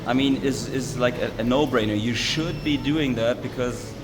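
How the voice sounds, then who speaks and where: A man hosts an interview at a busy trade fair, chatting with enthusiasm and steering with questions. His mid-range voice rings with a long hall echo, with steady noise around him. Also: medium pitch, normal speed. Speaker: interviewer, host. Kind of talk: casual interview.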